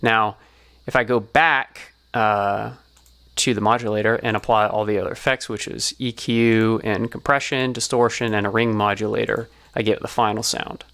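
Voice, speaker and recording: A man's voice: lowish voice, steady tone, moderate pace, a bit bright. A man giving an explanatory tutorial as a sound designer, talking through it step by step.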